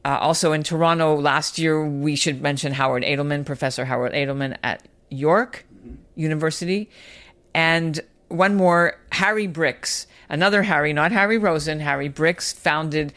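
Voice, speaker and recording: A man's voice: fairly high pitch, normal speed, a bit bright. A man co-hosting a casual news podcast, leading the conversation through the segments. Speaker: podcast co-host.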